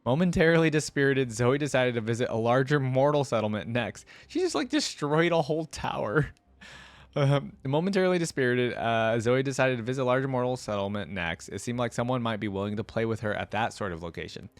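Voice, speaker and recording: Low-voiced man reacting to a story. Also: expressive, a little fast, no echo. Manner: rambling, thinking aloud. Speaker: reaction video host. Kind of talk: reading a story aloud with casual commentary.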